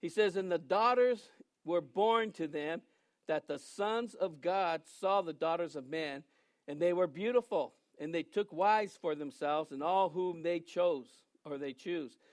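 A man in his sixties preaches with a high voice, trading jokes and asides with his listeners before slowing down to read scripture. The sound is dry and close, with no room echo. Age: 60s. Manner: joking, conversational preaching with asides; reads scripture aloud slowly. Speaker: pastor. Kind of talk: sermon.

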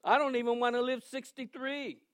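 A man in his sixties preaching a sermon in a joking, conversational way, reading scripture aloud slowly between asides. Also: very high-pitched, flat delivery, clear, resonant tone, dry recording, clean studio sound. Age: 60s. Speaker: pastor.

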